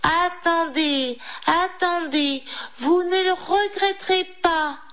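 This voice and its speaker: Woman doing a high-pitched, timid little mouse. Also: slow. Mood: flustered, eager. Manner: pleading, stammering, eager to please. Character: small timid, pleading, eager female mouse. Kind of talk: children's storybook character voice.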